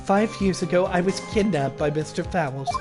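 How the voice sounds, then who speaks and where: A man reading the lines of a cunning young woman witness in a game, softly and hesitantly. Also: sits high, normal speed, music in the background, lightly acted. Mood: pleading.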